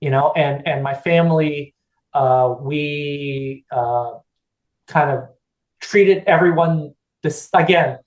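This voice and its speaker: Man, 50s, from California, podcast guest, rambling, restarts, fillers, slightly high voice, echoey room. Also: slow pace, highs cut off. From United States (California). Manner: rambling, many restarts and fillers, laughs easily. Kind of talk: casual chat.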